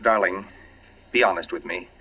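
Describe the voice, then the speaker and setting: An actor playing an uneasy, suspicious suburban husband in the style of 1940s radio drama. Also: male voice, low-pitched, moderate pace, husky. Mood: suspicious, anxious. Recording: muffled 1940s radio recording.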